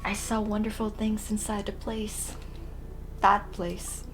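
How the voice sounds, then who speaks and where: A woman streamer talks slowly over faint game music. Her voice is medium in pitch, and she comments casually and informally on what she is playing, reacting with a soft heart. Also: little pitch movement, low-quality recording. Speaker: gaming streamer. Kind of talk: casual let's play commentary.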